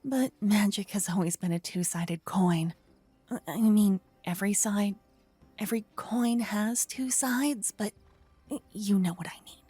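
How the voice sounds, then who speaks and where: A woman playing an earnest, bashful young female paladin in an affectionate fantasy ASMR roleplay, speaking softly and gently to the listener. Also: mid-range voice, no room sound, soft background music. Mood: earnest, explaining. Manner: soft, breathy, gently flustered, slightly halting.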